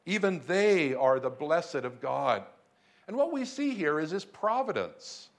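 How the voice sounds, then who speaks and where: A man preaching a sermon to a congregation in a room, speaking in a measured way with emphatic lifts on key points. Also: on the high side, very animated. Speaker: pastor. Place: church room in Beijing.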